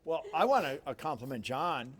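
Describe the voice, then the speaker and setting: Animated, higher-voiced older man, jokey. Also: moderate pace. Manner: jokey, repeats himself. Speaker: car show regular. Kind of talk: casual chat, showing off a car.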